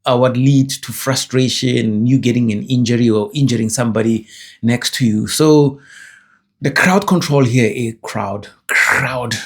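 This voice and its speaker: Young South African guy, slightly breathy, casual digressive vlog talk, mid-pitched. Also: fairly even intonation, a little slow. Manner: digressive, self-correcting, chatty. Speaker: vlogger. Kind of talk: casual rambling monologue.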